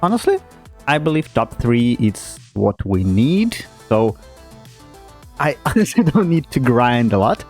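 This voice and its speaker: A man's voice: medium pitch, very animated, measured pace, darkish tone. A man giving casual, hesitant commentary as he plays a racing game, with many fillers and restarts. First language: not German. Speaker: gaming YouTuber. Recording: game music playing underneath.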